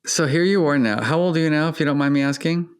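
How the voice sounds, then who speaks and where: A man hosting an interview, asking gentle, probing questions in a conversational way. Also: on the high side, quick pace, clear, resonant tone. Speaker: podcast host.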